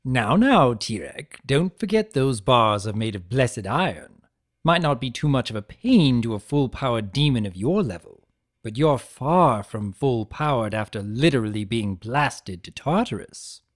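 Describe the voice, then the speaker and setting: A man voicing a mischievous chaos spirit in a fantasy story, energetic, coy and theatrical, with a teasing way of talking. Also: medium pitch, measured pace. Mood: mock scolding.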